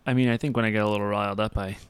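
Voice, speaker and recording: A man's voice: lowish voice, steady tone, rapid-fire, smooth, no echo. A young man co-hosting a podcast in casual chat, rambling with many restarts and crude jokes, talking into a mic with headphones on. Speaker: podcast co-host.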